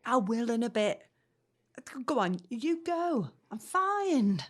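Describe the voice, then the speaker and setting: An actress playing a reassuring young working-class pregnant woman, gently coaxing, in a 1950s family audio drama. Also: on the high side, big pitch swings, takes it slowly, exaggerated voice.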